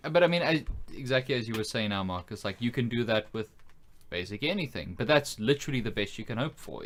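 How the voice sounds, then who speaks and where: A man's voice: mid-range voice, fairly bright tone. A man co-hosting a podcast in casual conversation, thinking aloud and hedging as he builds his point. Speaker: podcast co-host. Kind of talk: casual chat about films and games.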